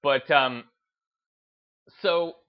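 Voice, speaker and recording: A man's voice: a little high, drawn-out words, muffled recording. A man giving unscripted opinion commentary in a hesitant, rambling way, often restarting his phrases. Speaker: host of a gaming video channel.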